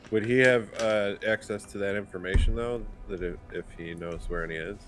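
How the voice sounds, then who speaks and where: Guy with a low-ish voice, casual chat as a tabletop rpg player. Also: fairly even intonation, brisk, a bit bright. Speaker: player in a tabletop roleplaying game.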